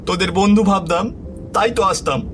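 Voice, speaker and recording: A man voicing a hurt, pleading transgender villager of twenty in an animated story, talking in a plaintive, protesting way. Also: high-pitched, a little fast, slight background noise, voice pushed up, natural voice. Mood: hurt, indignant. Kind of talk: acted dialogue in animated story.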